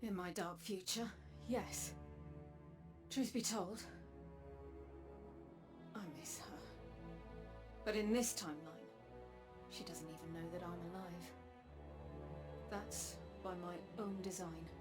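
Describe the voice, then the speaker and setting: An actress playing a solemn, weary elder sister from a dark future, speaking in measured, dramatic video game dialogue. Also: female voice, on the low side. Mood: grieving, regretful, then grave, resolute. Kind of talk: scripted video game cutscene narration. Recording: game audio with music underneath. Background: music.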